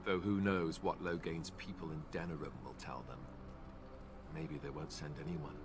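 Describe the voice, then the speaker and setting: An actor playing an earnest, dutiful young warrior in a video game, speaking in a measured, matter-of-fact way. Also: male voice, a bass voice, over music. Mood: grave, resigned. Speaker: video game companion character. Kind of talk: video game dialogue acting.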